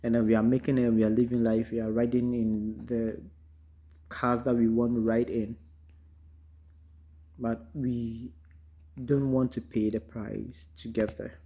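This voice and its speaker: A man from Ghana with a Ghanaian accent, giving an unhurried, reflective motivational talk full of ums, recorded in a car. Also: medium pitch, level tone, dark tone. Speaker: vlogger. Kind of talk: casual motivational monologue.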